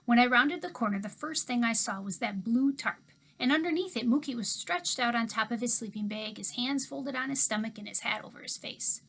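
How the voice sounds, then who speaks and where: A woman teacher reads a chapter book aloud to her class, giving the story an expressive, storytelling lilt. Her voice sits a little higher than most women's. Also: fairly quick, creaky, few pauses. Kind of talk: reading a novel aloud to a class.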